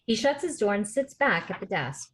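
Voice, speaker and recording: A woman's voice: mid-range voice, sing-song, moderate pace, slight background noise. A woman reading stage directions as the narrator at a film script table read. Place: remote video call rehearsal.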